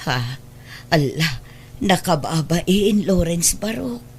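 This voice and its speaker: A woman's voice: fairly low pitch, animated, takes it slowly, a little mellow, a little hiss or hum. A woman playing a poor, humble, worried mother in an audio drama, speaking plaintively and anxiously. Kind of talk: Ilocano audio drama acting.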